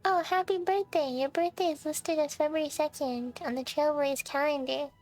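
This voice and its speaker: High-pitched woman chatting on a game stream. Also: steady tone, moderate pace, darkish tone, faint music behind. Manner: playful, chatty, musing aloud. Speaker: game livestreamer. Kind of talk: casual livestream chat over a video game.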